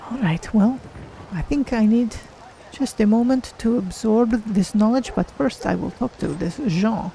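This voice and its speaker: A streamer voicing a polite, curious cat-folk adventurer in a measured, formal manner while roleplaying a game. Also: medium pitch, gravelly, dark tone, slight background noise, poor audio. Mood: curious, musing.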